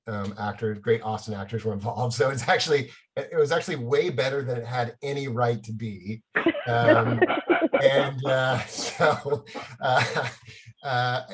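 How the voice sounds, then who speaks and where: Man, middle-aged, medium pitch, casual anecdotal style, animated, self-deprecating, chuckly. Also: husky. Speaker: playwright.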